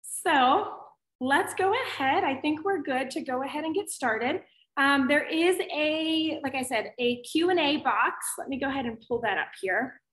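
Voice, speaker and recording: A woman from Pittsburgh hosting a live teaching webinar, her voice hoarse and raspy from allergies, talking in an upbeat, chatty way. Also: high-pitched, a little slow, fairly bright tone, reverberant. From Pittsburgh, USA. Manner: upbeat, chatty, often says "awesome". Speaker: webinar host and educator.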